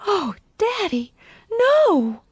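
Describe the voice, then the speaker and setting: A woman narrator acts out a young girl in an audiobook. The voice sits high and sounds eager, open and trusting, a loving daughter who is easily upset. The sound is dry and close. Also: huge pitch swings, drawn-out words, no room sound, full character voice. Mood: upset, pleading. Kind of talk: audiobook character voice.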